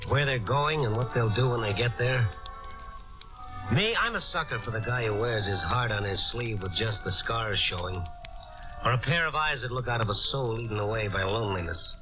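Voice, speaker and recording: An actor as a world-weary reporter over loud music. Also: male voice, mid-range voice, expressive, normal speed, lightly acted, low-quality recording. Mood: brooding. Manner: moody, reflective storytelling. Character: world-weary, compassionate male newspaper reporter. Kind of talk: 1950s radio drama narration. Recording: old radio recording under loud music.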